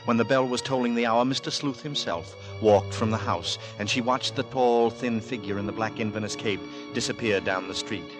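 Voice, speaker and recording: An actor narrating a Victorian horror tale in a grave, measured, dramatic 1940s radio suspense style. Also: male voice, medium pitch, close to an everyday voice, rough recording. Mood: brooding, suspenseful. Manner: measured, dramatic, brooding delivery. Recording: vintage 1940s radio recording with music.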